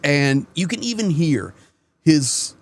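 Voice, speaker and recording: A man's voice: a little high, lively intonation, takes it slowly. A man hosting his own channel, giving casual news commentary in an earnest, conversational way and repeating words as he starts a sentence. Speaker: channel host.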